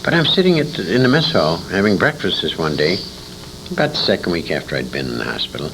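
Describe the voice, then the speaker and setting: A man's voice: deep voice, very animated, fast talker. An older American man telling anecdotes in casual conversation, salty and emphatic, repeating phrases for effect. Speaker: interviewee, war veteran and old-time radio performer. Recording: noisy informal interview recording.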